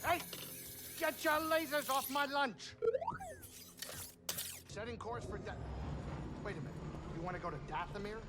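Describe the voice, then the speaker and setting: Grumbling little alien pilot, high voice, brash wisecracks, video game, loud engine noise. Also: male voice, normal speed, long pauses. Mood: indignant. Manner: brash, sardonic, colloquial. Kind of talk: video game character acting. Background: rumbling ship engine.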